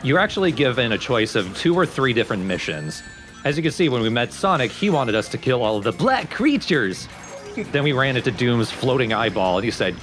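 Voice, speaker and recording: A man's voice: mid-range voice, a bit bright. A man hosting a let's play, explaining the game in a casual, wry way.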